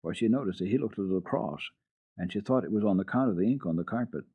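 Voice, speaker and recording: A man narrating children's literature as a radio storyteller, reading dramatically in an expressive, old-fashioned way. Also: fairly low pitch, fast talker, breathy edge, dull audio. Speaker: storyteller, radio program host.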